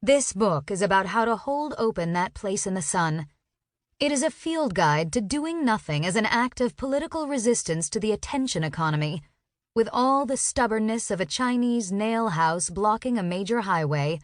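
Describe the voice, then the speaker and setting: A woman's voice: mid-range voice, lively intonation, moderate pace, no room sound, studio quality. A woman narrating a nonfiction audiobook as a professional reader, with urgency and intensity.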